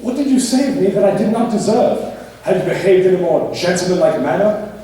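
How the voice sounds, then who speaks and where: A man on stage playing a proud, remorseful young gentleman, formal and earnest. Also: high-pitched, expressive, a little fast. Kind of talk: amateur stage play acting. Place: theatre stage. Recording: echoey hall, far mic, faint noise.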